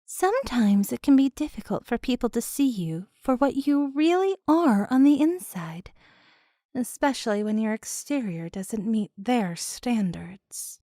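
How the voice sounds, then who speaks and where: A mysterious storyteller played by a woman narrates a fantasy tale in a fairly high voice. She is very slow and portentous, recorded dry and close. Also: animated, warm tone, no echo. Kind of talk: dramatic scripted narration.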